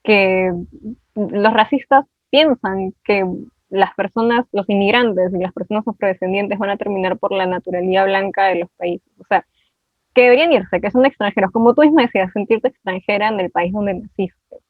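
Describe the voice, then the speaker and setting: Woman interviewer, halting restarts, tinny phone-like sound, dry. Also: medium pitch, dry recording. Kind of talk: conversational interview.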